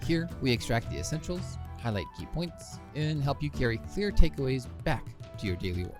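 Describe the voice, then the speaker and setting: A man's voice: mid-range voice, sing-song, normal speed, over music. Man narrating with wry asides. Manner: wry, self-deprecating asides. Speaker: podcast host and author. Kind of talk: scripted narration of own book.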